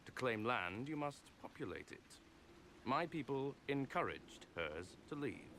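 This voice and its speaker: A man's voice: medium pitch, very animated, unhurried, a bit bright, a little hiss or hum. An actor playing a smug, scheming nobleman in a video game, pompous and oily with mock courtesy. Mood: smooth, persuasive. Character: smug, scheming male nobleman, genteel and condescending.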